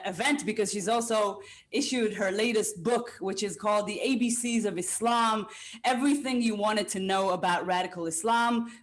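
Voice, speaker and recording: A woman hosting a podcast interview in a conversational way, with halting, emphatic phrasing and repeated words. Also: mid-range voice. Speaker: podcast host.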